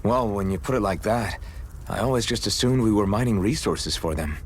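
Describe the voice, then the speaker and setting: An actor playing an earnest, questioning young freedom fighter in a video game, unsure of himself. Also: male voice, on the low side, a little fast, a little hiss or hum, lightly acted. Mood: curious.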